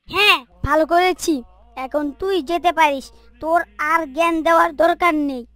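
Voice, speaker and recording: Woman as a high-voiced, snappy young cartoon boy. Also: measured pace, a bit bright, dry recording. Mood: irritated, dismissive. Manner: irritated, curt, talking back. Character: rude, game-addicted young boy, impatient and dismissive. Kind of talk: Islamic moral cartoon dialogue.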